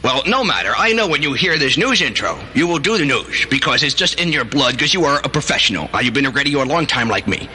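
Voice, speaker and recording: A man's voice: mid-range voice, sing-song, quick pace, few pauses, bright, forceful tone. An American man hosting as a 1970s radio DJ, bantering in a cocky, theatrical, teasing way. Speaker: radio DJ and show host. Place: radio station studio. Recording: noisy 1970s radio aircheck tape.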